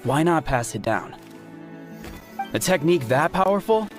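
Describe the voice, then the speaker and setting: A man's voice: on the high side, animated, a little slow, soft background music. A man playing a sceptical tribesman in a video game, dismissive and argumentative. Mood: scornful. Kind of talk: scripted video game dialogue.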